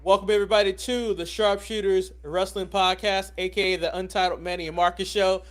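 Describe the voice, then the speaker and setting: High-pitched American man, teasing podcast banter. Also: little pitch movement, brisk, fairly bright tone. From United States. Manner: teasing, profane, repeats words for emphasis. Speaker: podcast co-host. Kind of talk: casual live banter.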